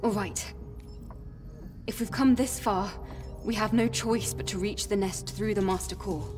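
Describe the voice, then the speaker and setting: A woman's voice: medium pitch, steady tone, a little slow, over music, natural voice. A woman playing a resolute, composed young female android warrior in a video game, speaking seriously. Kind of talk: cinematic video game dialogue.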